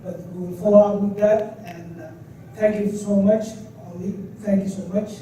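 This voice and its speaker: Man from Somalia, summit speaker; earnest, halting delivery; high, dark voice, roomy and distant. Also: steady tone. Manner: earnest, with many fillers and restarts. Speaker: restaurant owner speaking at a trade summit. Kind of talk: impromptu conference remarks. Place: large conference hall. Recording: echoey hall, distant mic, faint noise.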